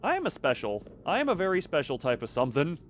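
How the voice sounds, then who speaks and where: A man's voice: a little high, huge pitch swings, quick pace. A man giving casual live gameplay commentary, rambling, self-deprecating and thinking aloud. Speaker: video game streamer. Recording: faint background noise and game ambience.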